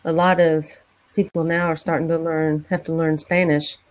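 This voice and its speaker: A woman's voice: sits low, flat delivery, moderate pace, low-quality recording. A woman from Peru asking a guest questions in a hesitant way, trailing off between phrases. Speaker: panelist. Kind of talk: casual conversation.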